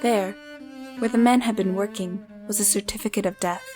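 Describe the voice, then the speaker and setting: An actress playing an earnest young woman of the 1870s in an audio drama, gentle and careful with formal wording. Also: medium pitch, steady tone, normal speed, over music, natural voice. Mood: measured narration. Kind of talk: scripted audio drama with first-person narration.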